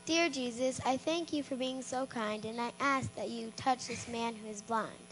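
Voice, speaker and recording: A woman voicing a sweet, timid little girl who speaks gently and earnestly in a storybook. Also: a little high, normal speed, a little hiss or hum, lightly acted, rough recording. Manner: gentle, earnest prayer-like speech.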